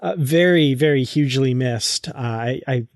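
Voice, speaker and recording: A man's voice: medium pitch, moderate pace, no room sound, studio quality. A man hosting a podcast in a casual, enthusiastic, appreciative chat style. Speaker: podcast host.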